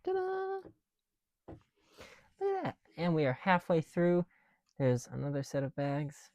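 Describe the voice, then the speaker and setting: A tired man speaking softly and casually in an ASMR style, musing to himself as he works. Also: on the high side, huge pitch swings, a little slow, dry recording. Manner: hushed, musing to self while counting pieces. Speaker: ASMR video creator. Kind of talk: soft-spoken ASMR, casual chat while building.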